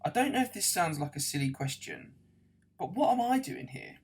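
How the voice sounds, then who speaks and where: A man's voice: high-pitched, big pitch swings, normal speed, slightly rough, voice pushed up, heavily acted. A man voicing a bewildered, upset but polite hitchhiker in a storybook, questioning and indignant. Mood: upset, insistent.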